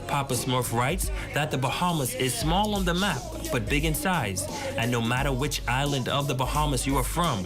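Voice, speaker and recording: A male reporter reading a scripted news voice-over in a polished, smooth manner. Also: medium pitch, normal speed, few pauses. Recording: music bed underneath.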